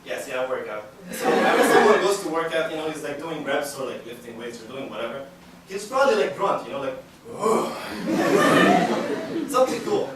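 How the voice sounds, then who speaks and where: A man's voice: fairly high pitch, big pitch swings, moderate pace. A young man doing stand-up comedy on a university stage, rambling and self-mocking with lots of fillers. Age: young adult. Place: university stage with live audience. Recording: echoey hall, distant mic, loud noise.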